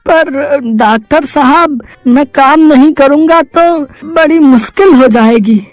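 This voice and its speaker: Man voicing a high-pitched, worried poor village labourer. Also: slow pace, no echo, faint music behind. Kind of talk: Hindi moral-story cartoon dubbing.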